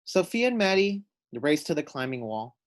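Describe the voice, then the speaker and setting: A man's voice: fairly high pitch, very animated, normal speed. A man reading a children's storybook aloud, adding simple explanatory asides for young listeners. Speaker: storybook reader.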